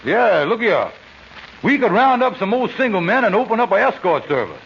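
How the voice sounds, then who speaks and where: An actor playing a scheming, smooth-talking con man hatching money plans, on a vintage radio comedy. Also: sits high, very animated, fairly bright tone, full character voice. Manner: fast-talking, wheedling, hesitant uhs. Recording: muffled old radio broadcast, faint hiss.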